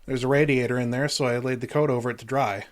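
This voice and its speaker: A man's voice: medium pitch, fairly even intonation, fast talker, close to an everyday voice. An actor playing a polite, easygoing young man, a suitor, in an audio drama. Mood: explaining.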